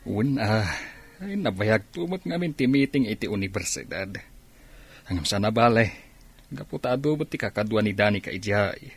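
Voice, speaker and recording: A man playing a gentle, apologetic middle-aged father in a Philippine radio drama. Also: lowish voice, animated, moderate pace, slightly rough. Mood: hesitant.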